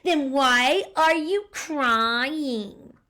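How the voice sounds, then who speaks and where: Lady doing a little monster bragging, squeaky and loud, storybook voice. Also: lively intonation, very slow, full character voice. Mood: gleeful. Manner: gleeful boasting. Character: small male monster, proud, trying to be scary.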